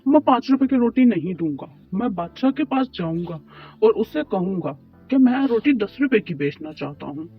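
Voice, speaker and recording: A man's voice: sits high, expressive, normal speed, husky, heavily acted. A man playing a poor, worried, humble bread baker in an Urdu moral cartoon story, pleading and deferential. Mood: insistent. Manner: pleading, deferential, talks to himself. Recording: muffled lo-fi track with music behind.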